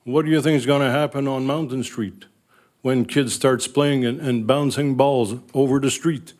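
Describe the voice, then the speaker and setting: An older man speaks with a thick French Canadian accent, his first language being French. He addresses council as a local resident, earnest, emotional and blunt, his voice sitting at a middle pitch for a man. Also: fairly even intonation, moderate pace, a little mellow. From Northern Ontario, Canada. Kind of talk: public comment at a council meeting.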